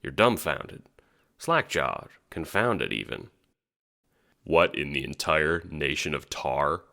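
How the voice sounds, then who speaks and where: A man's voice: very deep voice, big pitch swings, slow, creaky, sharp tone. A man reading second-person scripted narration for an audio drama. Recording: background music under the voice.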